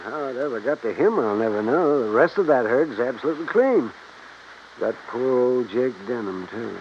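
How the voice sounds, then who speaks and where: Male actor as talkative country vet, affable, expressive intonation, old radio drama, dull recording. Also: mid-range voice, moderate pace, slight background noise, lightly acted. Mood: affable, matter-of-fact. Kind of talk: 1950s radio drama acting.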